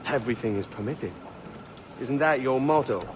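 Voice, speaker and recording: A man's voice: mid-range voice, lively intonation, moderate pace, background noise, lightly acted. A man playing a cocky, roguish young pirate captain in a video game cutscene. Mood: sardonic. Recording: loud music behind dialogue.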